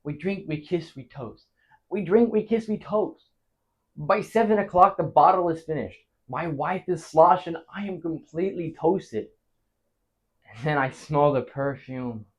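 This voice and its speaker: A male actor playing a flustered married man in a theatrical comic monologue, stammering and pausing dramatically as he recounts a disastrous night. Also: a little high, lively intonation. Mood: fond, wistful. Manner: stammering, dramatic pauses, big emotional swings.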